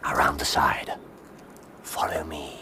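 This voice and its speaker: A low, urbane English detective. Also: male voice, steady tone, takes it slowly, noisy background. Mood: hushed, assured. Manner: theatrical, urbane, formal diction. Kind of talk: radio drama acting.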